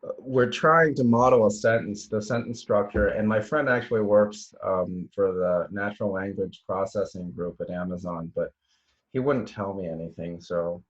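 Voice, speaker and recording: A man giving an informal lecture as a teacher, talking haltingly, correcting himself and often checking in with "right?". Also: fairly low pitch, roomy sound, dull audio. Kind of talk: informal slide lecture with live demos.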